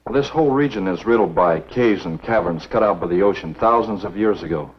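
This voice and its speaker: A man acting an earnest, duty-bound American lawman in a 1950s B-movie, speaking in a measured, matter-of-fact, husky voice. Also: low-pitched. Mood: earnest, reasoning. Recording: dull, muffled 1950s film soundtrack.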